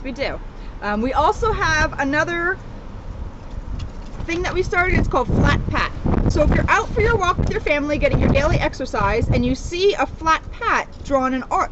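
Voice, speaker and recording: High-voiced woman outdoors with traffic noise. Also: lively intonation, normal speed. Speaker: police officer co-hosting children's reading. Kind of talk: casual address to children and families. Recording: dull lo-fi sound, loud outdoor traffic noise.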